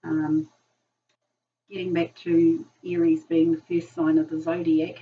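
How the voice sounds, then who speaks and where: A woman in her sixties, croaky from a virus, giving a rambling casual tarot reading to camera with many ums. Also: low voice, level tone, unhurried. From New Zealand. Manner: rambling, many ums and "sort of type thing". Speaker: tarot reader. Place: small room, indoors. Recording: dull distant camera mic with faint hiss.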